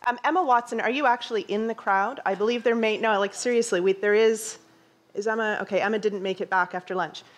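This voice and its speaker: A woman's voice: mid-range voice, fairly even intonation, quick pace. A woman in her thirties with a Canadian accent, giving a conversational conference talk on stage with dry asides, on a headset mic. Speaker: conference speaker. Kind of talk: conference presentation. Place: large dark theater stage.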